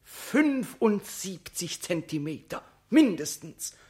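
A theatrical, wildly swooping excitable onlooker. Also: mid-range voice, slow. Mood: hushed amazement. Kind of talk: radio play interior monologue.

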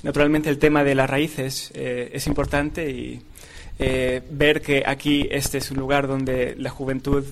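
A man whose first language is not Spanish speaks at a gala, thanking his hosts. His delivery is flat and level, broken by frequent hesitant fillers. A faint hiss of noise sits behind him. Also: medium pitch, measured pace. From born abroad. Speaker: award presenter. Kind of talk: thank-you speech at a gala.

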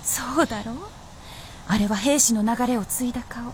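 An actress playing a sly, bold princess of feudal Japan in a period radio drama, with poised, archaic speech. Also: female voice, a little high, fairly quick, darkish tone, slight background noise, close to an everyday voice. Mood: frank, bossy.

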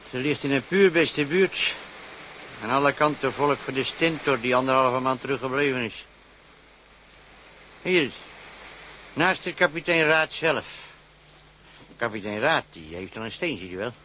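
An actor playing a fussy, money-minded village gravedigger who sells headstones with chatty, hesitant, wheedling patter in a period drama. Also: male voice, medium pitch, sharp tone, a little hiss or hum, rough recording.

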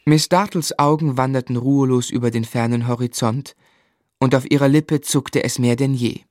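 Dry-sounding, earnest young gentleman narrator played by a man. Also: mid-range voice, steady tone, dry recording, natural voice. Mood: reflective. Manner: measured, reflective, formally polite. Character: earnest, restrained young gentleman telling his life story. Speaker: narrator and protagonist. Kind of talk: literary radio play narration.

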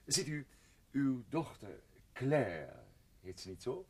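An actor in a radio play voicing an ingratiating, meddling gentleman neighbour who wheedles and repeats himself with fussy politeness. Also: medium pitch, sing-song, slow pace, vocal fry, breathy edge, full character voice.

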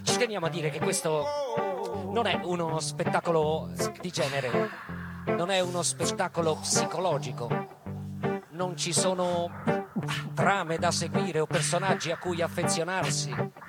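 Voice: medium pitch, unhurried, long pauses. A stage performer delivering a scripted, declamatory show introduction straight to the audience. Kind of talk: theatrical scripted announcement to an audience. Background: guitar music.

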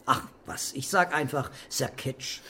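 A man playing a laconic, calm police commissioner with a dry, provocative manner in a crime radio drama. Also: medium pitch, a little slow, a little hiss or hum. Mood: coolly provocative.

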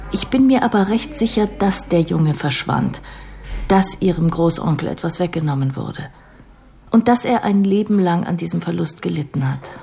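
A composed care professional in a radio play speaks in a fairly low, very mellow female voice with a lot of creak. She explains things in a measured, analytical manner, with music in the recording. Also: animated. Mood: calm, reflective. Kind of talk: radio drama dialogue.

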